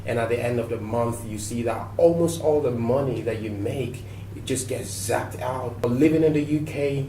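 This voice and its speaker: A man from Nigeria speaks as a talk show guest at an even, middle pitch. He talks in a relaxed, reflective way and pads his answers with fillers. The sound is roomy, a little distant from the mic, with faint background hiss. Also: normal speed. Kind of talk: interview answers. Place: TV studio.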